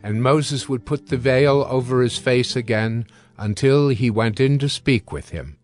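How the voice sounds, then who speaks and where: A man narrating scripture from a script in a measured, formal storytelling manner with deliberate phrasing. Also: mid-range voice, a little mellow, soft background music.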